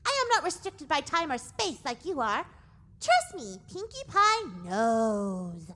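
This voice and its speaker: A woman's voice: high-pitched, wildly swooping pitch, takes it slowly, pushed and bright, full character voice. A woman voicing a perky, all-knowing pink cartoon pony mare in an earnest, dramatic, emphatic way. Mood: knowing, insistent.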